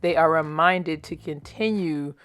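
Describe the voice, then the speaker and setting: Casual American woman, lowish voice. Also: measured pace. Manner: chatty, informal, encouraging. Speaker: children's craft presenter. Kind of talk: casual craft tutorial.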